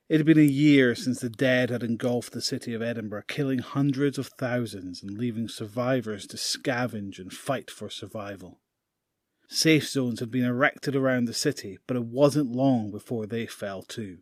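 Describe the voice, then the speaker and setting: A man narrating an audio drama in a measured, grave storytelling manner. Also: medium pitch, darkish tone, no room sound, studio quality. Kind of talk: scripted narration.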